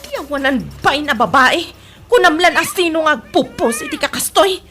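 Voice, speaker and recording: Woman acting gossipy ilocano lady, high bright voice, super animated, radio comedy. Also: moderate pace, over music. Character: excitable gossipy woman, egging others on.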